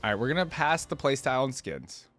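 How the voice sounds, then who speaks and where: A man's voice: mid-range voice, very animated, quick pace, soft background music. A man hosting a reaction video, giving casual commentary and rambling as he thinks aloud.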